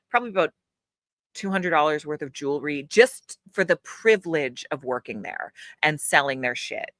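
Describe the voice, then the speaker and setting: A woman's voice: low voice, bright, forceful tone, no room sound, clean studio sound. A woman guest, an actor and audiobook narrator, telling stories frankly and with plenty of swearing. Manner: frank, profane, storytelling. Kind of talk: casual interview chat.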